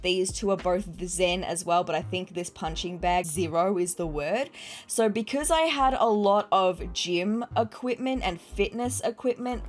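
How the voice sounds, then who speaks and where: Chatty Australian woman, a bit nasal. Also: mid-range voice. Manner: chatty and apologetic, quick asides. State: slightly nasal, recovering from illness. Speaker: YouTube game builder. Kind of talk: casual game-build narration.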